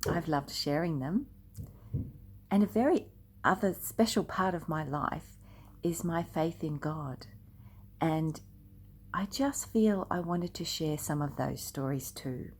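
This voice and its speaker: An older woman reading a picture book aloud expressively and deliberately, speaking directly to young listeners. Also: sits low, lively intonation, husky. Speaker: storyteller.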